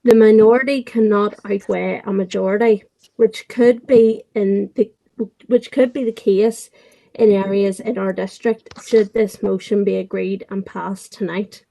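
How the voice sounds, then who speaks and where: Woman, councillor, firm measured delivery, mellow dark voice. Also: mid-range voice, steady tone, vocal fry, low-quality recording. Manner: firm, measured, reads prepared points. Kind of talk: formal council debate speech.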